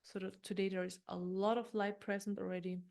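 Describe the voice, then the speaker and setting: Woman, medium pitch, meditation guide, gentle, unhurried, explanatory, improvised narration, repetitive phrasing. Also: level tone, vocal fry.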